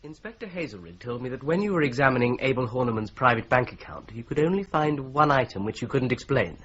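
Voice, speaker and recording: An actor playing a polite, methodical young law clerk who presses his points in a calm, persistent way, in a radio drama. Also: medium pitch, fast talker, close to an everyday voice. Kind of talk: 1970s radio drama acting. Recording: slightly dull broadcast recording.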